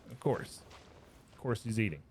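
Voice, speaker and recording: A man's voice: lowish voice, animated, normal speed, a little hiss or hum. A man giving casual gameplay reaction commentary as a streamer. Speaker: gaming streamer.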